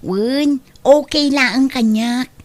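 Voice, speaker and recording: A woman's voice: high voice, drawn-out words, a little hiss or hum. A woman playing a hardworking housemaid in an Ilocano comedy drama, meek to employers and teasing with peers. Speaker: voice actress.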